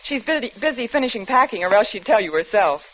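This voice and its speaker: A woman's voice: on the high side, animated, fast talker, close to an everyday voice. An actress playing an eager, persuasive woman in a 1940s radio drama. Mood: eager, coaxing. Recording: dull old radio recording, faint noise.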